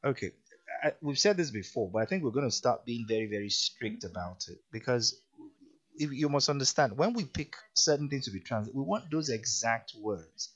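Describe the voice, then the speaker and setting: A Nigerian man hosts a radio show with a very animated voice that swings up and down freely. He chats casually on air, and the recording is somewhat dull and lo-fi. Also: medium pitch, moderate pace. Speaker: radio host.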